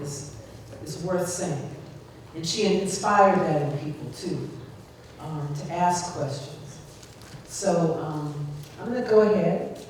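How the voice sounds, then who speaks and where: Woman running a memorial program, really slow, lots of ums, faint noise behind. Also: on the low side, a little mellow. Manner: slow and thoughtful, with many "um"s. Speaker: program emcee at a memorial tribute. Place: indoor gathering hall. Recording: echoey hall from far off, faint noise.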